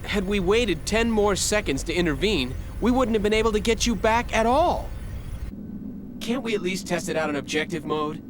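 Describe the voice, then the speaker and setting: Casting: anxious young tech assistant, lighter high male voice, earnest game-dub delivery, noisy ambience. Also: expressive, normal speed. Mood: worried protesting. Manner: earnest, deferential, protesting. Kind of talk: English video game dub.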